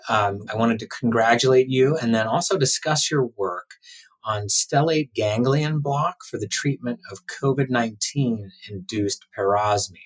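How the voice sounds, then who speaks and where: A man's voice: on the low side, rough recording. A man hosting an interview podcast, speaking in a conversational style as he introduces and questions guests.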